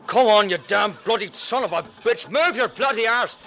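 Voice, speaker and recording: An actor playing a gruff, impatient cab driver in a radio play, curt and exasperated as he urges someone on. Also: sits high, normal speed, sharp tone. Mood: angry, exasperated. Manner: curt, urging, cursing.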